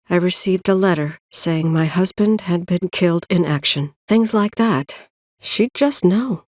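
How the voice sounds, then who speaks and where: A woman playing a weary, protective middle-aged mother in a video game, speaking in an earnest, measured, maternal way. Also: lowish voice, darkish tone, no echo, studio quality. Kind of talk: naturalistic video game voice acting.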